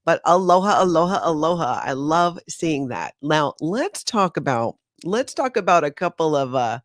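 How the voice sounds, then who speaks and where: A middle-aged woman from Hawaii hosting a casual livestream, talking in a chatty, animated way with incredulous asides. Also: low voice, moderate pace, no echo, studio quality. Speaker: channel host.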